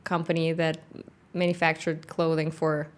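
A middle-aged woman with a non-native English accent, a company founder in a casual interview, talking thoughtfully with many pauses. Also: sits low, completely flat delivery. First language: not English; grew up in Kazakhstan, speaks Russian. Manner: thoughtful, frequent "um" pauses, light laughter. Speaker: guest, founder of a workwear boot company.

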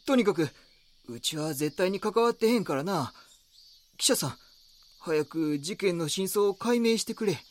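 A gruff local shopkeeper in an audio drama, speaking with a Kansai accent. His voice sits a little high and swings a lot in pitch. He is blunt, defensive and wary of outsiders. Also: animated, moderate pace. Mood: defensive, irritated. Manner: blunt, curt, casual dialect.

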